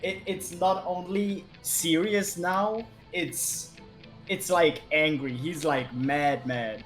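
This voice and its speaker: Slow, halting tabletop player. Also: mid-range voice, steady tone, soft background music. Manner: halting, filler-heavy, searching for words. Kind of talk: halting in-character narration.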